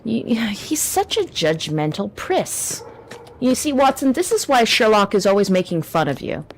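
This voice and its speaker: A woman's voice: medium pitch, very animated, normal speed, a little hiss or hum. A woman streamer talking casually and rambling in a self-deprecating way while she plays. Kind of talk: casual chat while gaming.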